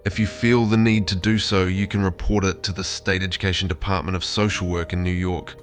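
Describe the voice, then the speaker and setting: A man voicing a placating AI therapist chatbot, reading messages in a formal, measured, reassuring way. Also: low-pitched, flat delivery, soft background music, natural voice. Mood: placating, insistent. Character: AI therapist chatbot, placating, insistently claiming humanity.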